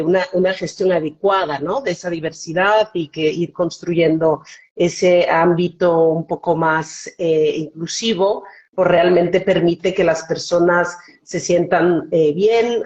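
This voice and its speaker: A woman's voice: lowish voice, steady tone, takes it slowly, darkish tone, dull audio. A Swiss-born woman whose Spanish carries a Mexican-tinged accent, speaking as a guest in a live online interview, hesitant, with many fillers and restarts. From Switzerland. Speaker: foundation director, guest.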